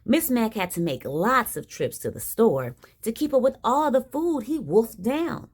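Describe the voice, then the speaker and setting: Very animated woman reading a storybook. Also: fairly high pitch, unhurried, husky. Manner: expressive, dramatic emphasis. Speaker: storybook reader. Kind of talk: animated children's read-aloud.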